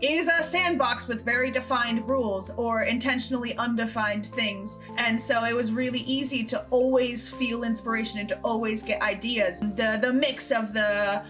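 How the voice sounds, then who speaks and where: A woman's voice: fairly high pitch, a bit bright. A woman hosting a casual group Q&A, talking effusively and with enthusiasm about creative work. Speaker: host and creator of a fan series. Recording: background music under the voice.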